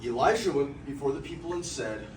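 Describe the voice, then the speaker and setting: A man's voice: mid-range voice, lively intonation, a little fast. A young man giving a theatrical, emphatic narration of scripture, projecting his voice as in a drama. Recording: echoey room from far off, noisy.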